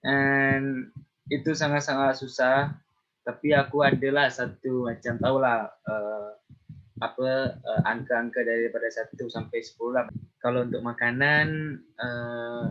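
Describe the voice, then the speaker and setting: A man's voice: mid-range voice, a little slow, a bit bright. A young man with a Malaysian accent, answering hesitantly as an interviewee and dropping in English words. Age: young adult. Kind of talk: casual chat. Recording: dull lo-fi recording.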